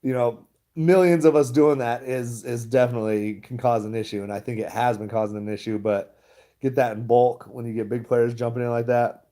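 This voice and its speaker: A man's voice: mid-range voice, steady tone, a little fast, warm tone. A man chatting casually to his viewers, rambling and laughing often. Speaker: stock vlogger. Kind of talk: casual livestream chat.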